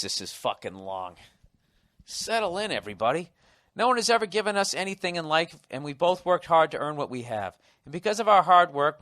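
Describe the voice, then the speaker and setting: A man's voice: a little high, a bit bright, dry recording, clean studio sound. A man in his forties with a Boston accent, hosting a podcast in a casual comedic monologue, cursing often and riffing sardonically as he reads a listener's letter aloud. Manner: profane, sardonic, riffing between reading lines. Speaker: podcast host.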